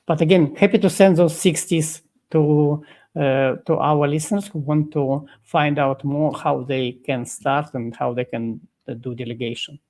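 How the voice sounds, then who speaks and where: A man being interviewed as a guest over a video call, explaining at length in a halting way full of fillers and restarts. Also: on the high side, fairly even intonation, a little mellow. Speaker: guest expert.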